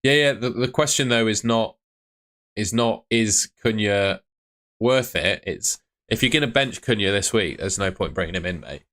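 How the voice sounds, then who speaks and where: A man's voice: on the low side, animated, moderate pace, a bit bright. A young man who is losing his voice, streaming from his room at home and answering viewer questions in a blunt, chatty way. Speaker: fantasy football streamer.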